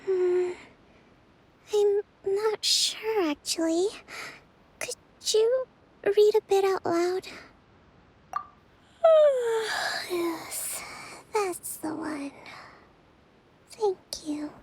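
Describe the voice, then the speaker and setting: A woman playing a gentle, earnest young island leader in a video game, speaking politely and in a measured, composed way. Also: very high-pitched, lively intonation, exaggerated voice. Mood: sleepy, relieved, then drowsy whisper. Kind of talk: video game character acting.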